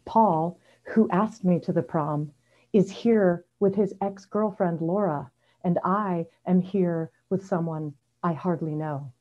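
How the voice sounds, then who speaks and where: A dull, lo-fi video call recording of a middle-aged woman with a fairly low voice. She tells a first-person story as an amateur at a story slam. Her delivery is wry and self-deprecating.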